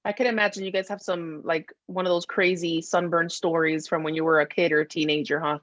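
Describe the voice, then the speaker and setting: A woman's voice: lowish voice, little pitch movement, a little fast, fairly bright tone, no room sound. A woman hosting a podcast, chatting casually and putting questions to the others. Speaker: podcast host. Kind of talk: casual chat, asking questions. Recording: close, dry, slightly dull audio.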